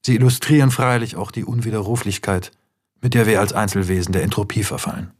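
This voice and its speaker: A man reading scripted radio narration in a measured, literary manner. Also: lowish voice, fairly even intonation. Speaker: narrator reading screenplay and essay.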